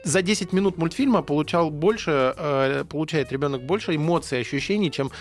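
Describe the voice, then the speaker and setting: Higher-pitched young man, casual interviewer. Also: a little fast, soft background music. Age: young adult. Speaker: interview co-host.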